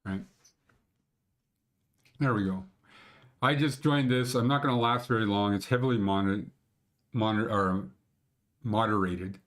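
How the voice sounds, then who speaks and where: A man's voice: fairly low pitch, a little slow, pauses often, a bit bright. A man in his sixties with a Canadian accent rambles through a casual talk over his screen, tossing in blunt and sometimes crude jokes. He talks from a small room at home into a webcam mic on his desk. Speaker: mountain conditions show host. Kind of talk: casual screen-share commentary.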